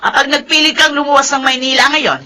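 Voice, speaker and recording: A woman's voice: sits high, fairly even intonation, brisk, pushed and bright. A woman playing a stern, emotional middle-aged rural mother with a Filipino accent in a radio drama. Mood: angry, forbidding. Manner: emphatic, emotional.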